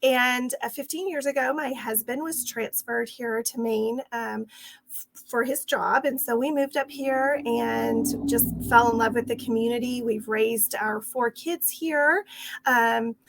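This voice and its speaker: A woman's voice: fairly high pitch, unhurried, gravelly, slight background noise, rough recording. A middle-aged woman with a Texas accent, a franchise owner telling her story in an interview, earnest and rambling with fillers and restarts.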